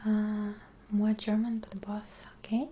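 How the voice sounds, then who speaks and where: A woman whose first language is Russian, chatting casually with viewers on an ASMR stream, drifting between whispers and soft talk. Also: mid-range voice, completely flat delivery, slow, reverberant, slight background noise.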